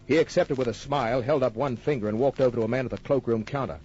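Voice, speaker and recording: An actor playing a wry, tough private detective narrating his case in an old-time radio drama, deadpan and wisecracking. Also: medium pitch, fast, natural voice. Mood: wry, matter-of-fact. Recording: muffled old radio recording with music.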